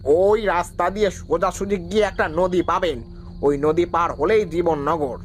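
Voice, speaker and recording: A man playing a curt, suspicious, irritable tea-stall keeper in an animated horror story. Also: high-pitched, moderate pace, close to an everyday voice. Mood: irritated.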